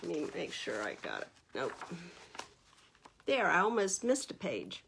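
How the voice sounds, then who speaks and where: A woman's voice: deep voice, wildly swooping pitch, a little slow, creaky, fairly bright tone, slight background noise. A woman hosting a children's storytime, reading a picture book aloud and chatting to young listeners with questions and explanations. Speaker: library storytime host.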